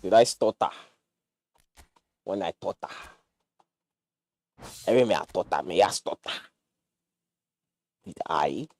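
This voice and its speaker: Young man, Nigerian accent, game livestream chatter, lively up-and-down voice, mellow low tone, dry close sound. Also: unhurried, long pauses, dry recording. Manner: animated, jokey, mixes pidgin slang. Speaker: gaming streamer. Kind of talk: casual livestream commentary.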